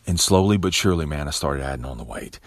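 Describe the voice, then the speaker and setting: A man's voice: fast, dry recording. Man giving a casual, profane pep talk. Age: 30s. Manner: casual, profane, says "man" and "bro" constantly; swings between animated bursts and low, quiet asides. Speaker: podcast host. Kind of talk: motivational monologue.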